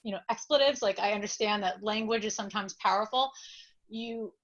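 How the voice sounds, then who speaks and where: A woman's voice: mid-range voice, a little fast. A woman speaking unscripted as a political candidate on a discussion panel, hesitant and thinking aloud as she goes. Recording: dull lo-fi sound.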